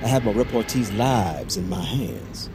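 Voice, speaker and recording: A man's voice: on the low side, expressive, measured pace. An actor playing a brooding, middle-aged American war veteran and father who is unravelling, in an audio drama. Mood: bitter nostalgia. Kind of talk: audio fiction drama acting. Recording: dramatic music under the voice.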